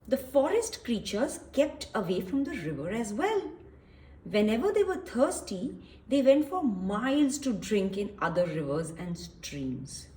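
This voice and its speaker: A woman reading a story aloud to young children, expressively, explaining and asking questions with emphasis and repetition. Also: high-pitched.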